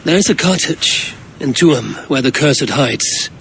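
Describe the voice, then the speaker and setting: A man's voice: mid-range voice, lively intonation, slow pace, creaky, a bit bright, slight background noise, exaggerated voice. A man playing a stoic, blunt Viking warrior in a video game cutscene, speaking tersely and directly. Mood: determined.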